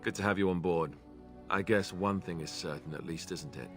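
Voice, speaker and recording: Game role: young male fighter companion, lowish pitch, understated wit, earnest. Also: moderate pace, a bit bright, faint music behind. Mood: wry, resolute. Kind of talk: video game character dialogue.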